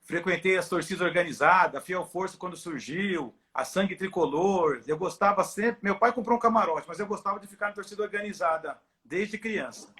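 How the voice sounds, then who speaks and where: A man's voice: high-pitched, fairly quick, few pauses, pushed and bright. A middle-aged man with a São Paulo countryside Brazilian accent, chatting in a friendly, informal way as an interview guest. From Ribeirão Preto, São Paulo, Brazil. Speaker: guest, football club doctor. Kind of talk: casual interview chat. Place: at home on a video call.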